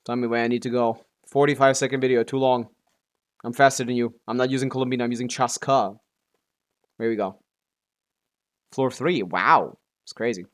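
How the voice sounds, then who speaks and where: A man streams a video game with casual running commentary. His voice sits at an ordinary middle pitch. He talks offhandedly, half to himself, and swears freely. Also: steady tone, normal speed, long pauses. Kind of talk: casual live gameplay commentary.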